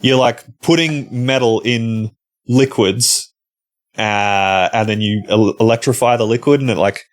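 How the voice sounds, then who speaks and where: A man's voice: mid-range voice, measured pace. A man hosting a podcast, asking questions in an easygoing, casual way. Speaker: podcast host. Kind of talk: casual interview.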